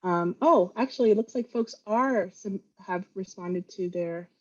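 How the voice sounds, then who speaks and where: A woman's voice: mid-range voice, measured pace, darkish tone, muffled recording. Earnest woman presenting a training webinar. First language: Vietnamese. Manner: earnest, talks with frequent fillers and restarts.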